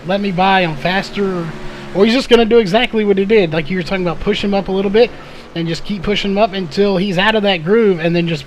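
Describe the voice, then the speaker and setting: A man doing live race commentary in a conversational, analytical way, his voice a little hoarse. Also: high voice, slight background noise, rough recording. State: slightly hoarse, losing his voice. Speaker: sim or short-track race play-by-play commentator.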